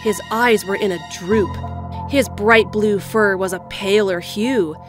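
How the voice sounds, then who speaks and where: A woman reading scripted story narration in a measured, storybook delivery, as the narrator of an audio drama. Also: mid-range voice. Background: music.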